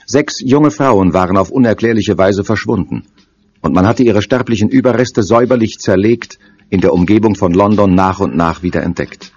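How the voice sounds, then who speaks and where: A man's voice: on the low side, normal speed, a little mellow, highs cut off. A man giving solemn, ominous scripted narration for a German crime audio drama.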